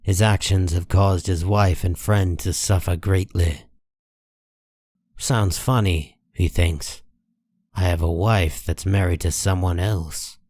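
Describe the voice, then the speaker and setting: A man narrating a dark comic book drama in a measured, solemn, deliberate way. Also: low voice, steady tone, no room sound, studio quality.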